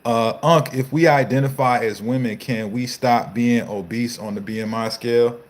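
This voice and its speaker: A man's voice: mid-range voice, steady tone, normal speed. A man in his thirties, a fitness coach, hosting a casual live Q&A, reading viewer comments and answering in a loose, slangy, profane way. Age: 30s.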